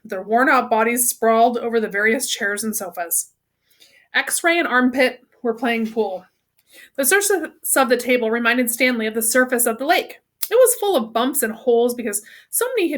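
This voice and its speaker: A woman's voice: a little high, a bit bright. A woman reading a children's novel aloud as a storyteller. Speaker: read-aloud reader.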